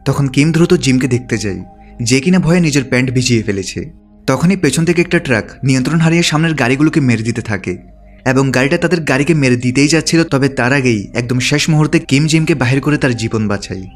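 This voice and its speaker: A man's voice: mid-range voice, fast, faint music behind. A man narrating a film's story from a script, as a recap narrator. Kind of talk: scripted narration of a film plot.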